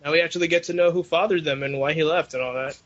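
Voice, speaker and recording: Young man, sharp forceful tone, slightly high pitch, casual podcast chat, muffled online call audio. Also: steady tone, racing. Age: young adult. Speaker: podcast co-host. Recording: dull lo-fi internet call.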